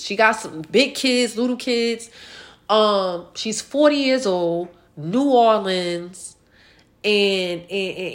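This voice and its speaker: A woman in her late thirties hosting a casual online chat show, speaking bluntly and repeating phrases for emphasis. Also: mid-range voice. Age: late 30s, turning forty. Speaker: host of a live online show. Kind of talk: casual celebrity gossip and personal chat to followers.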